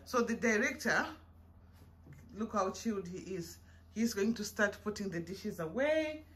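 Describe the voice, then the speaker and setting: A woman's voice: mid-range voice, lively intonation, a bit bright. Mother directing a family clean-up. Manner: directing the family, brisk and practical. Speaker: mother filming a cleaning vlog. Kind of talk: casual family chat while cleaning. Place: home kitchen indoors. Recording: distant phone mic in an echoey home with noise.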